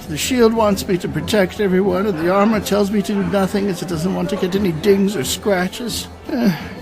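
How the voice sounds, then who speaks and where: A man acts out an aging, world-weary knight from a fantasy radio play. His fairly high voice swings widely as he speaks in grand, old-fashioned phrases, with a creaky edge and music underneath. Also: rough recording. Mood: weary, resigned. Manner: formal, grandiose, archaic storytelling. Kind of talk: audio drama acting.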